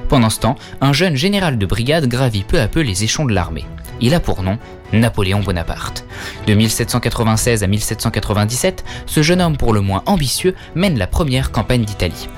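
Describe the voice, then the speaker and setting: Man, mid-pitched voice, scripted history narration, asks viewers questions, music playing underneath. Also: expressive, brisk. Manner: addresses viewers directly with quizzes and asides. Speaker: educational video narrator.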